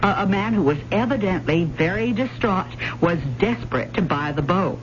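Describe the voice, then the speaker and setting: A woman's voice: mid-range voice, expressive, moderate pace, natural voice. Woman acting shy nosy college girl, stammery and polite, old radio drama, muffled sound. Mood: nervous, probing. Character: hesitant, inquisitive young female college student. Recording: dull, faintly noisy old radio recording.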